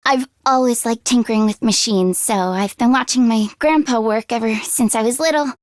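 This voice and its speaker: A woman plays a teenage girl mechanic from a video game, with a high, youthful voice and a close, dry sound. She is a friendly tomboy, earnest and a little anxious, and speaks casually and expressively. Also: normal speed, dry recording, natural voice. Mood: friendly, curious. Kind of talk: English video game voice acting.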